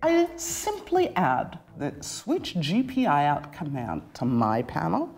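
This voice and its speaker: A middle-aged woman giving scripted instructional narration as a tutorial presenter, enunciating clearly step by step. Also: low-pitched, wildly swooping pitch, takes it slowly, darkish tone. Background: background music.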